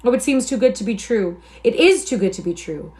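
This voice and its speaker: A woman reading the part of a guarded young nobleman who speaks in a mild, soft tone with old-fashioned phrasing. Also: mid-range voice, normal speed, lightly acted. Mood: astonished.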